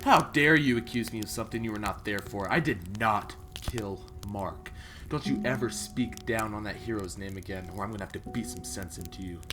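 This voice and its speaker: Man as bossy, hot-tempered low-voiced survivor. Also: moderate pace, music in the background, close to an everyday voice. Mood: angry, defensive. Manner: bossy, sweary, insulting. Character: Jack, gruff, hot-tempered young veteran survivor, scornful of rookies. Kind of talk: audio drama acting.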